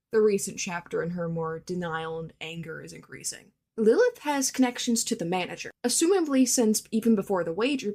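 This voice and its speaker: A woman recording a casual voice message as a listener, talking through opinions and theories. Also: medium pitch.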